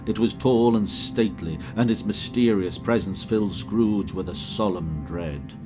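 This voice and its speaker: A man giving solemn, measured scripted narration for an audio drama. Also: lowish voice, music in the background.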